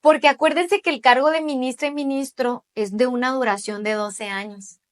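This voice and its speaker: A woman's voice: sits high, steady tone, normal speed. A woman in her late thirties with a Mexican accent gives explanatory interview answers, emphatic and often checking in with short tag questions. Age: late 30s. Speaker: interviewee, judicial candidate.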